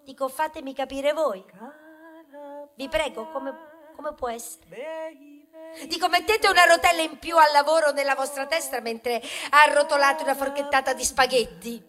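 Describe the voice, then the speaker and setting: A woman's voice: sits high, steady tone, moderate pace, a crowd in the background, close to an everyday voice. An actress playing a proud, aggrieved male grain of wheat in a satirical stage monologue, theatrical and plaintive, swelling into declamation and confiding in asides. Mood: pleading, reproachful. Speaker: stage actor performing a monologue. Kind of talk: theatrical satirical monologue, rhetorical, with bursts of song.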